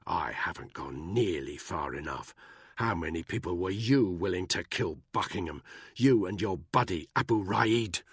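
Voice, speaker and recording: A man's voice: sits low, expressive, normal speed, breathy edge, no room sound, studio quality, exaggerated voice. A man voicing a hard, furious soldier who growls with contempt. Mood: menacing.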